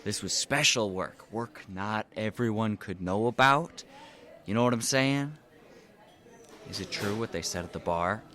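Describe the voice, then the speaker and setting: A man's voice: on the low side, a little slow, fairly bright tone. An actor playing a down-on-his-luck, menacing convict's son in an audio drama, with a streetwise, casual, insinuating manner.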